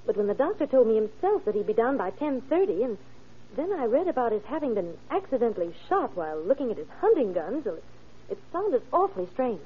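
An earnest secretary in a vintage radio crime drama. Her fairly high voice moves quickly with animated intonation, concerned yet precise. The old recording sounds dull. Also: slightly rough. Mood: troubled. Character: earnest, worried female secretary at a doctor's office.

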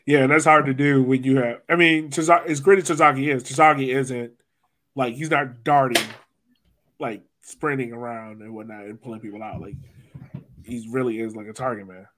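A man's voice: mid-range voice, fairly even intonation, brisk, a bit bright. An American man hosting a sports podcast, talking through soccer in a casual conversational way.

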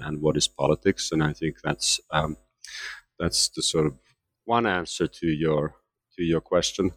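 A man's voice: rumbling low, no room sound. A middle-aged man from Finland, a history professor, answering interview questions with many fillers and restarts. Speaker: guest author, history professor.

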